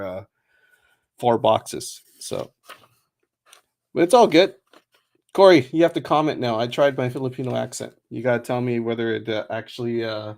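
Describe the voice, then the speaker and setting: A man hosting a trading card break stream, chatting casually with many fillers and quick laughs, and reading out a long list of names. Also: medium pitch. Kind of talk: casual livestream chat.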